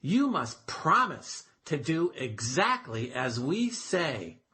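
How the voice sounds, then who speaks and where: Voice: mid-range voice, sing-song, slow pace, pushed and bright, exaggerated voice. A storyteller voicing caring but firm birds in a children's storybook, speaking in a careful, instructive way. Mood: cautioning.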